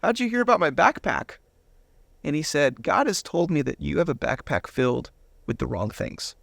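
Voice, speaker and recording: A man's voice: fairly high pitch, very animated, moderate pace, gravelly, fairly bright tone. A man giving a personal testimony as an interview guest, retelling moments with quoted dialogue acted out.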